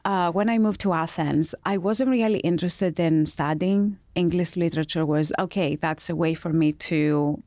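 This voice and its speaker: A woman's voice: fairly low pitch, moderate pace, hardly any pauses, a little mellow, no echo, clean studio sound. A middle-aged woman from Greece, telling her story as an interview guest, with many ums and restarts. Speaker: guest, founder of a beauty brand.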